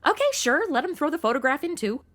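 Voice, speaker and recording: Woman playing a high-pitched, sassy American gal. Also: expressive, fast, fairly bright tone. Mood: greedy, brash. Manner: sarcastic, brash, exclamatory. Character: sassy, slangy, money-minded modern young woman. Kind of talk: comedy audio drama acting.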